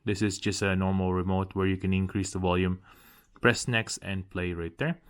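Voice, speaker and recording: A man's voice: low-pitched, steady tone, moderate pace, clean tone. A man narrating a casual hands-on product demo, pointing things out piece by piece in short phrases. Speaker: product reviewer.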